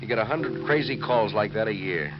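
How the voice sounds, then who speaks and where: A man's voice: lowish voice, expressive, brisk, over music, lightly acted. A man acting a folksy, world-weary police lieutenant in a 1940s radio mystery, casual and hesitant with his words. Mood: weary, offhand. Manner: hesitant, casual questioning with drawled slang. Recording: muffled, noisy 1940s radio recording.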